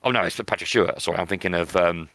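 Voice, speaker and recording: A man's voice: sits low, fast, a bit bright, dry recording. A British man co-hosting a podcast, chatting casually about films in a blunt, opinionated, sweary way. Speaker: podcast co-host. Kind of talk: casual film discussion.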